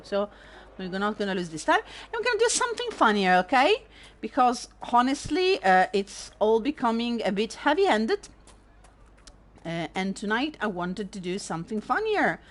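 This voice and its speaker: A woman with an Italian accent, casually commenting on a game stream in a sardonic, animated way and swearing freely. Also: medium pitch. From Italy. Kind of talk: casual let's-play commentary.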